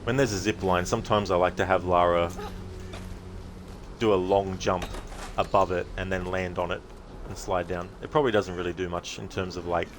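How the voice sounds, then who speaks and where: Low-voiced man streaming over loud game noise. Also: fairly even intonation, normal speed. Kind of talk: casual gameplay commentary.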